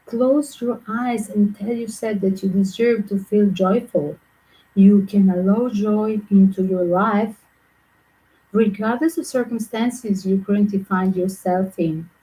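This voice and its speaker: A woman from Southern Europe with a mixed Greek and Yugoslav accent, giving a tarot reading in quick, chatty, non-native English. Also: mid-range voice, dark tone, poor audio. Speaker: tarot reader.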